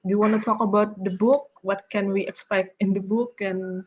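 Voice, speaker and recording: A woman's voice: mid-range voice, steady tone, normal speed, slightly rough. A woman hosting an online talk, posing questions and partly reading from notes, over a lo-fi call. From Indonesia. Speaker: host, interviewer.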